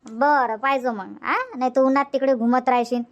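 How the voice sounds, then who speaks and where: A woman's voice: sits high, fairly even intonation, fairly quick, lo-fi sound, natural voice. A woman voicing a bossy, fussing village mother-in-law in a cartoon comedy, colloquial and repetitive, with a rural Varhadi Marathi accent. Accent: rural Varhadi Marathi from Vidarbha.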